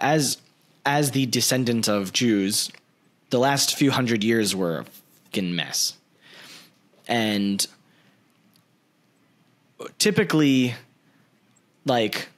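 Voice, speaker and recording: A man in his thirties hosting a podcast, talking in an animated way into a large studio mic in a small room at home. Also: fairly low pitch. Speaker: podcast host. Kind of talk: casual interview talk. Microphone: large studio mic with headphones.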